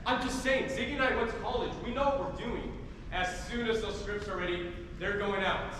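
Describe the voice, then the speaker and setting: Young man acting a high-voiced, evasive musician husband. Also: brisk, fairly bright tone. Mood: defensive, dismissive. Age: young adult. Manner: dismissive, defensive. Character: evasive, absent-minded jazz musician husband and father. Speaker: student actor. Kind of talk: theatrical stage acting. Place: large indoor theatre stage. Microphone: distant recorder. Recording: echoey noisy auditorium from far off.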